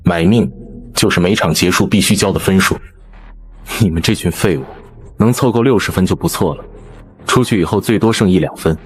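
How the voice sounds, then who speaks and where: A man playing a domineering, foul-mouthed veteran survivor boss in animated drama, blunt and bullying. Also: low voice, breathy edge. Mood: scornful.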